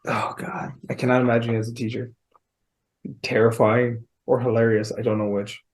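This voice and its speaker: A man's voice: mid-range voice, flat delivery, moderate pace. A man co-hosting a podcast, teasing and laughing, swearing freely in casual chat. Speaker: podcast co-host.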